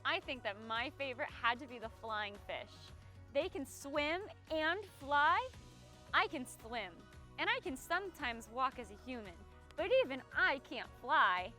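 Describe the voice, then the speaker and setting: High-pitched, playful woman hosting children's storytime. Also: lively intonation, a little slow, pushed and bright, faint music behind. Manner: playful, addresses young viewers directly, exaggerated expression. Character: friendly singing mermaid who loves the land. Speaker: children's storytime host in a mermaid persona. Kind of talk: children's storytime read-aloud and singalong presenting.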